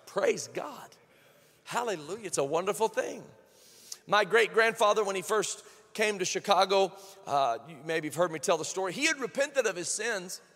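A male pastor preaching a sermon, moving between quiet storytelling and shouted, fervent praise. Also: sits high, big-room sound.

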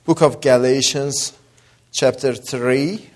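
A man with a mix of Azeri, Persian and Australian accent, preaching as a guest with scripture reading and prayer, pausing with fillers and rising to emphatic delivery. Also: medium pitch, fairly even intonation. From Azerbaijani-Iranian background. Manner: builds from soft opening to raised emphatic preaching; hesitant fillers between phrases.

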